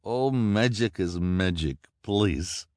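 A man voicing a scheming, greedy female fortune-teller who flatters and speaks broken English with a strange foreign accent. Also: low voice, takes it slowly, no echo, studio quality, voice pushed up. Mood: fawning. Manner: wheedling, ends phrases with "please". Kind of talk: audiobook character voice.

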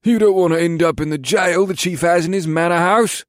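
A stern elf soldier, voiced by a male narrator speaks up high and hard over the narration pitch. He warns and scoffs with scorn, as in a fantasy storybook, in a dry close recording. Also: fairly quick, no room sound, lightly acted. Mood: stern warning. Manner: warning, scoffing.

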